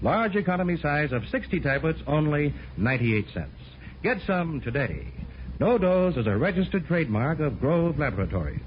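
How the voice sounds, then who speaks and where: Animated, high-pitched American radio announcer man. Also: normal speed. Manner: polished, emphatic salesmanship. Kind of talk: 1950s scripted radio commercial read. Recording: muffled, faintly noisy 1950s radio recording.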